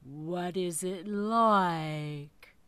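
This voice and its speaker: A woman voicing a big, hungry, grumbling male whale in a children's storybook, with slow, drawn-out, booming speech. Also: a bit bright, clear, resonant tone, no echo. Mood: hungry, blunt.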